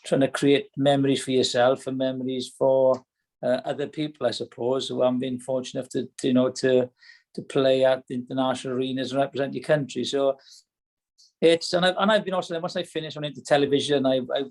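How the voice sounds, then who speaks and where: A man's voice: medium pitch, flat delivery, quick pace, a little mellow. A middle-aged Welsh man answering as a podcast guest, reflective and hesitant, often restarting his sentences. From Wales. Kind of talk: casual podcast chat.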